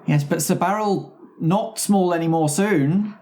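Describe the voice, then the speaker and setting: A woman's voice: fairly low pitch, measured pace, dark tone. A woman takes part in a tabletop roleplaying game as a player. She talks casually, describing what her character does and speaking lines for that character.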